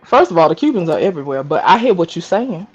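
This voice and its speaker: High-voiced man hosting a casual chat. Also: fast, lo-fi sound, slight background noise. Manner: animated, teasing, swears freely. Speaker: podcast host.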